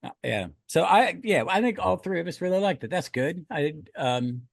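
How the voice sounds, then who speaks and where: A man's voice: fairly high pitch, lively intonation, normal speed, gravelly, no room sound, clean studio sound. A man hosting a podcast in casual review chat, talking in a rambling, enthusiastic way. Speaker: podcast host.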